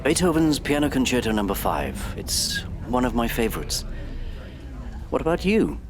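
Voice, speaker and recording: Casting: man playing awkward, courteous dad in middle age, stammering and reluctant, medium pitch with lively swings, comic radio play. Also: moderate pace, a crowd in the background, lightly acted. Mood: awkward, polite. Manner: hesitant, apologetic, stammering. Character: awkward, reluctant, polite divorced man on holiday. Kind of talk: comic audio drama dialogue.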